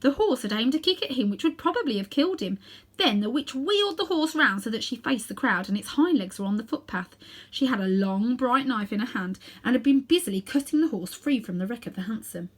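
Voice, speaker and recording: A woman reading a children's story aloud in an expressive narrating style. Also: on the high side, fairly quick. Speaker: storybook reader.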